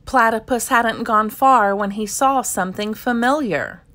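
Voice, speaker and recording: A woman reading a children's picture book aloud in an expressive narrating style. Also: a little high, measured pace. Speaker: storybook reader.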